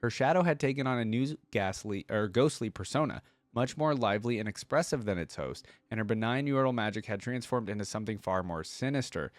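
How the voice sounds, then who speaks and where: A man with a fairly low voice hosts a reaction channel. He moves between reading aloud and casual commentary, thinking out loud in an informal way. The sound is dry, with very little room in it. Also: animated, fairly quick, no room sound.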